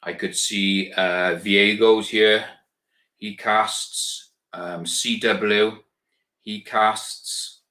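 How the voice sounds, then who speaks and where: A man's voice: low-pitched, pushed and bright, reverberant. A Welsh man hosting a casual live stream, chatting and rambling to viewers he calls guys. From Wales. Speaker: channel host.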